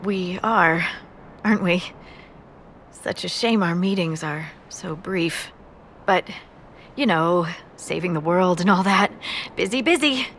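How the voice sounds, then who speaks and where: Female scout, slightly low voice, wry, friendly, conversational, game dialogue, faint ambient noise. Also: takes it slowly, pauses often, fairly bright tone. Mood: teasing.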